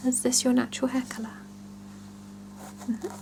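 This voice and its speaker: A woman doing an ASMR salon roleplay, talking gently and reassuringly to a client in a breathy whisper mixed with soft voicing. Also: high voice, level tone, noisy background. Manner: slow, gentle, reassuring, with murmured pauses. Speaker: ASMR roleplayer playing a salon scalp-check attendant. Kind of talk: ASMR roleplay, one-sided chat with a client.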